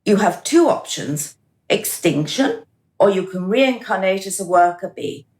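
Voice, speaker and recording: An actress playing a cool, smug administrator of a dystopian afterlife in a radio drama, officious and mocking. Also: female voice, mid-range voice, lively intonation, measured pace, a little mellow, echoey room, lightly acted. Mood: patient, smug.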